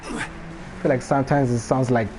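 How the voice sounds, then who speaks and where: A man's voice: mid-range voice, fairly even intonation, moderate pace, over music. A man from Botswana with a Botswana English accent, chatting casually and laughing often while live-streaming a game. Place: home room during a rainstorm. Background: faint rain and ambient noise.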